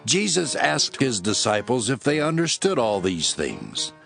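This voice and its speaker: Expressive male scripture narrator. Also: medium pitch, measured pace, vocal fry, faint music behind.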